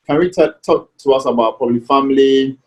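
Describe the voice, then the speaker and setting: A man hosting an interview show, speaking in a formal, deliberate announcing manner. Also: mid-range voice, darkish tone, muffled recording, faint music behind. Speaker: show host.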